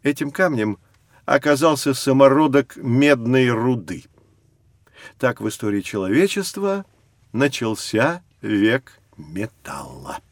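An actor playing a patient, kindly guide who tells a children's educational story in a measured, expressive way. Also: male voice, medium pitch, no room sound. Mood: patient, reflective. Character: wise adult male guide, Vladimir Sergeyevich, patient and kindly storyteller. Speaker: narrator and guide. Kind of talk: children's educational audio drama narration.

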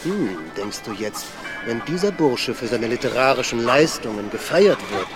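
An actor playing a talkative, self-satisfied, theatrical street portrait artist in a radio drama. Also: male voice, medium pitch, animated, moderate pace, lightly acted. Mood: boastful, chatty. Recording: music behind the voice.

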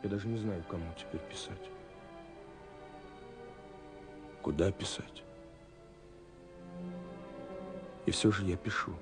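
An actor playing an earnest young romantic in a 1970s Soviet radio play, confiding haltingly with dramatic pauses. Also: male voice, fairly low pitch, natural voice. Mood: wistful, hesitant. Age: young adult. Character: earnest young romantic man searching for a mysterious girl. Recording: 1970s radio recording with faint music. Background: faint music.

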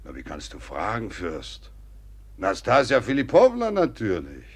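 An actor playing a talkative, agitated old Russian general who blusters and rambles confidentially, in a theatrical German radio play. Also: male voice, lowish voice, huge pitch swings, unhurried, husky, full character voice. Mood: flustered, indignant. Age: older. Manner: blustering, rambling, confidential, hesitating mid-sentence.

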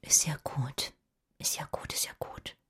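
A woman's voice: measured pace, fairly bright tone, whispered, natural voice. An actress playing an angry but forgiving middle-aged mother of a teenager in a radio play. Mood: angry, relenting. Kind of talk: naturalistic radio play acting.

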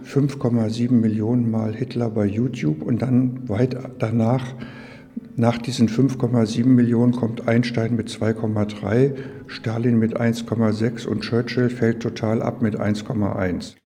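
A man's voice: medium pitch, level tone, normal speed, warm tone. A man giving an informal explanation as an exhibition guide. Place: large echoing indoor exhibition room. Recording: long hall reverb, muted tone.

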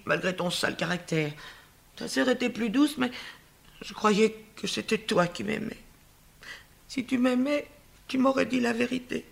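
An actress voicing an old royal nurse who scolds and dotes on her charge, in a stage drama. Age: older. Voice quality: lively scolding voice, swinging high and low.

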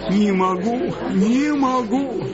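Radio play role: long-suffering meek man, plaintive and repetitive, dull recording, loud crowd behind. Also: extremely high pitch, slow. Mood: plaintive complaint. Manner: plaintive, pleading, repeating himself. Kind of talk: radio drama acting.

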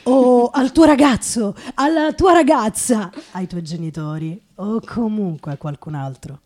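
A woman playing a world-weary, intense male writer in a theatrical radio reading, halting and repeating phrases. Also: medium pitch, darkish tone, lightly acted. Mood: intense, insistent. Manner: halting dramatic delivery with repeated phrases and pauses. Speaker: stage actress performing a literary monologue.

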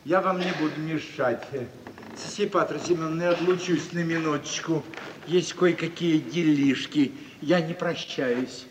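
An actor playing an affable, busy, courteous gentleman visitor in a 1950s Russian radio comedy. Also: a little high, steady tone, a little slow, lightly acted. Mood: businesslike. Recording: noisy, echoey, distant vintage radio recording.

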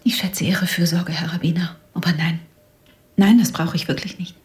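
An actress in a German radio drama playing a bereaved, bitter widow, speaking haltingly with breathy sighs. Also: female voice, lowish voice, steady tone, a little mellow, natural voice. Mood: weary, grieving.

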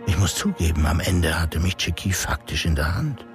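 A man's voice: sits low, normal speed, close to an everyday voice. An actor playing a cynical, scheming male power broker in a radio drama, talking in a cold, calculating inner monologue. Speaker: politician character. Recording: studio radio play with music.

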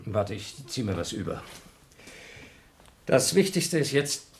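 An actor playing an astronaut on a moon station who is agitated and impatient and keeps exclaiming. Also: male voice, lowish voice, very animated, slow, heavily acted. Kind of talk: 1970s radio play acting.

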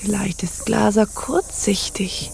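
An actress playing a brooding, sensual pirate captain in a radio drama, speaking in intimate, half-whispered musing. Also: female voice, mid-range voice, slow pace, creaky, darkish tone, faint music behind. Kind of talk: German radio drama acting.

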